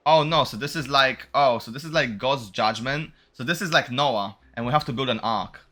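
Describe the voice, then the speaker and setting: A man's voice: medium pitch, normal speed, sharp tone. A man giving casual playthrough commentary as a game streamer, talking in an informal, slangy, rambling way. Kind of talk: casual playthrough commentary mixed with reading dialogue aloud.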